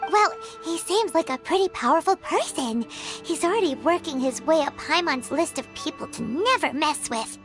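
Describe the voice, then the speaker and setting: A tiny, excitable female companion chirps along in a very high, swooping voice. She is chatty and bouncy, talking like a small fairy sidekick. Soft game music plays under her lines. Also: normal speed. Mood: excited. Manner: squeaky, bouncy, talks of herself by name. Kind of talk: video game dialogue.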